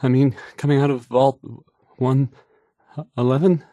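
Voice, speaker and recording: A man's voice: medium pitch, level tone, measured pace, dark tone, dry recording, close to an everyday voice. A man acting a shy, nervous young radio DJ in a video game, stammering, hesitant and unsure of himself. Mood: flustered, unsure. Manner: stammering, hesitant, self-doubting, trailing off. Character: painfully shy, nervous, awkward male radio DJ.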